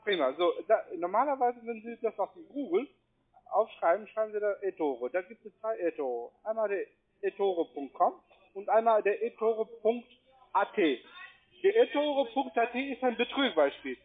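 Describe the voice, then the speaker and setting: A non-native man with a foreign accent doing persuasive sales talk over the phone, insistent, repetitive and halting in his phrasing. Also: high voice, expressive, breathy edge. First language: not German. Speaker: call center agent selling trading courses. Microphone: telephone. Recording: tinny, band-limited phone line.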